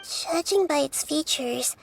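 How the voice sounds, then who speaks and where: High, childlike, thoughtful girl. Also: animated, slow, creaky, soft background music, heavily acted, poor audio. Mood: thoughtful, hesitant. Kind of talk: video game voice acting.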